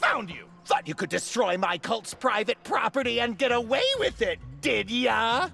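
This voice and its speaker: A man's voice: very high voice, lively intonation, moderate pace, creaky, pushed and bright, faint music behind, heavily acted. A man voicing a bombastic, tantrum-prone cult villain in a comedic game, ranting theatrically with whiny indignation. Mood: gloating threat. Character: bombastic, tantrum-prone male cult villain, self-proclaimed Sun King. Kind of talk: exaggerated comedic cartoon game acting.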